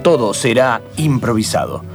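A man narrating improvised radio theatre in a dramatic, portentous way, with deliberate repetitions. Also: medium pitch, sing-song. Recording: music behind the voice.

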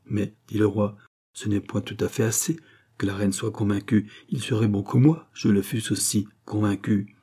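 A stern, measured king, read by a man in a low, husky voice. He questions calmly and with authority, the tone dark and warm.

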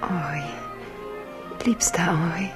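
A tender, secretive princess in a radio play. Her fairly low voice is hushed and breathy, intimate, with clear music behind it. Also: steady tone, slow pace. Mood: tender, guarded. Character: tender, secretive royal bride in love. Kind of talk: German radio drama acting.

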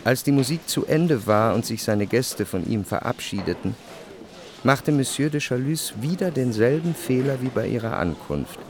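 An actor as a measured, reflective narrator reading scripted literary prose in a radio drama. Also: male voice, mid-range voice, big pitch swings.

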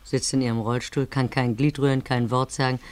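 A man acts a family friend and neighbour in a radio play, passing on news in a chatty, resigned way. The voice is medium in pitch and matter-of-fact. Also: flat delivery, brisk, lightly acted. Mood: matter-of-fact pity. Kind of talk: German radio play acting, conversational.

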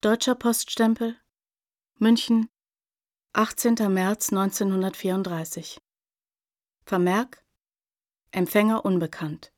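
A woman's voice: mid-range voice, fairly even intonation, takes it slowly, pauses often, a little mellow, no room sound, studio quality. A woman narrating a radio drama, reading scripted details in a matter-of-fact way.

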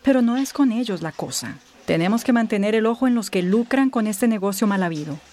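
An actress playing a stern, authoritative town mayor in a radio drama, speaking firmly and commandingly. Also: female voice, mid-range voice, animated, darkish tone, lightly acted. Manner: firm, measured, commanding.

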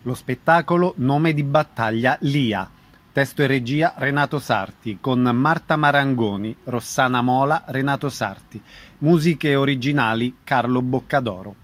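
A man's voice: medium pitch, a little slow. A man reading scripted programme announcements on the radio.

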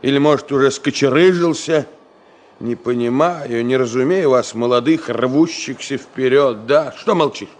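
A man's voice: medium pitch, moderate pace, natural voice. An actor playing a middle-aged senior engineer in a radio drama, scolding and teasing in a folksy, ironic, fatherly way. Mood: stern, sarcastic. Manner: folksy, ironic, chiding with rhetorical questions. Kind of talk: Soviet radio drama acting. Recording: noisy 1970 radio play recording.

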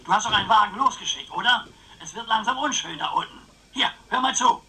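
An actor playing an eccentric, scheming older uncle in a radio crime drama, with a sly, theatrical, musing way of talking. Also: male voice, sits high, lively intonation, fast, background noise. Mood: agitated, excited. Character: eccentric, scheming old uncle, grandiose and philosophical.

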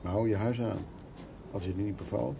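An older male actor playing a stubborn, world-weary but fond war survivor in a radio drama, plain-spoken, with a husky, creaky voice. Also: lowish voice, brisk, noisy background. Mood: affectionate, eager. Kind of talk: radio drama acting, reminiscing and intimate dialogue.

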